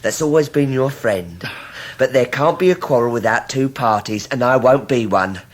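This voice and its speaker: An actor playing an oily, falsely humble scheming young clerk in a period radio drama, with a wheedling, sanctimonious manner. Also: male voice, mid-range voice, animated, normal speed, natural voice. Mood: sanctimonious, forgiving.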